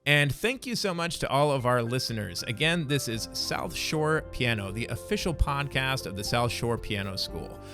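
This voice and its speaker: A man's voice: mid-range voice, vocal fry. A man hosting a podcast, upbeat and affirming, paraphrasing his guest's answers back to him. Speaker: podcast host. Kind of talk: friendly interview, podcast outro.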